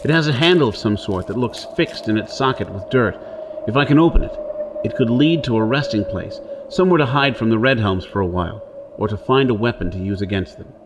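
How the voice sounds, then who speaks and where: A man's voice: lowish voice, fairly quick, noisy background, lightly acted. An actor playing a rugged, wary Earth-born outlaw on Mars in an audio drama, intense and theatrical as he talks himself through things. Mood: determined. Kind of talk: audio drama acting with first-person narration.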